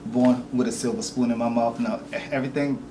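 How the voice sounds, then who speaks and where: A man's voice: medium pitch, a little fast, recorded from a distance. Easygoing Venezuelan-born male guest, echoey. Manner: easygoing, short affirming "yeah"s. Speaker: podcast guest, entertainment reporter. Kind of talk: casual interview answers. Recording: echoey room, off-mic, steady background noise.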